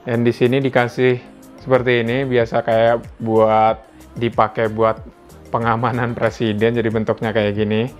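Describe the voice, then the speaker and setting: A man's voice: mid-range voice, flat delivery, normal speed. A man with an Indonesian accent giving a casual, conversational unboxing narration as a product reviewer, explaining things informally. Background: background music.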